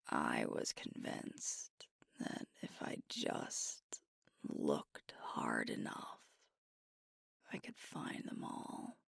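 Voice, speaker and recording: A woman's voice: extremely low pitch, dry recording, studio quality, full character voice. A woman as a whispering, sweet werewolf girlfriend. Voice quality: whispered, breathy. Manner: gentle, unhurried, intimate storytelling. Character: sweet alpha werewolf girlfriend, nostalgic and tender. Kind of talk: soft whispered ASMR roleplay monologue.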